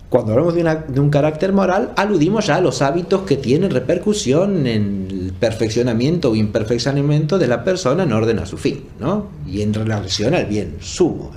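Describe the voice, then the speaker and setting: A man giving an explanatory academic lecture off the cuff, deliberate and often checking for agreement with short tag questions. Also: medium pitch, darkish tone, slight background noise. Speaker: philosophy lecturer.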